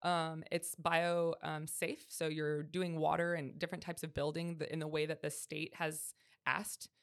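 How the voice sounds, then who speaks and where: Gravelly low-voiced woman guest. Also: level tone. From Colombia. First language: Spanish. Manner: friendly, measured, frequent "um" and "so". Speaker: real estate agent, guest. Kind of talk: interview answers. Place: studio.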